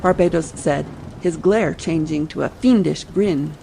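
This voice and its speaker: A woman's voice: low-pitched, measured pace, creaky, mellow, slight background noise, poor audio. A woman reading scripted audiobook narration as the storyteller. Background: faint ambient sea sound.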